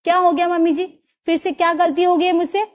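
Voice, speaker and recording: A harsh, quick-tempered young mother, as a woman narrator plays her, loud and scolding. She snaps back at everyone with a biting tongue. Her voice sits high and cutting. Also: little pitch movement. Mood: defiant.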